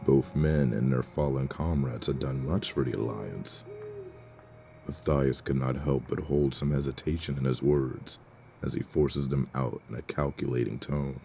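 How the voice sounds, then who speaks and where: Very deep, gravelly male storyteller. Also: fairly even intonation, slight background noise. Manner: measured, solemn storytelling. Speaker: narrator. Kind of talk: scripted fantasy audio drama narration.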